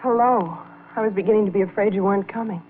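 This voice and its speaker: Actress as troubled wife, anxious, pleading, vintage radio melodrama, narrow phone-like sound. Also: female voice, medium pitch, fast talker, a little hiss or hum, natural voice. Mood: relieved. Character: anxious, unhappy wife of a jealous husband. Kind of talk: 1940s-50s radio melodrama acting.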